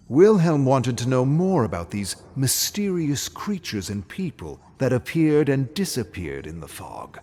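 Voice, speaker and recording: A man narrating a scripted fairy tale as a storyteller, with a dramatic, measured delivery. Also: on the low side, darkish tone, a little hiss or hum.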